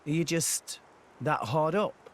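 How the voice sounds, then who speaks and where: A man's voice: fairly high pitch, slow pace. An actor playing a plucky, earnest working teenage boy in a video game cutscene.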